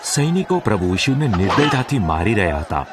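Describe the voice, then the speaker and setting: A man's voice: lowish voice, big pitch swings, a little fast, heavy background noise. A man narrating a scripted, dramatic Bible story as the narrator of an audio drama. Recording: music behind the narration.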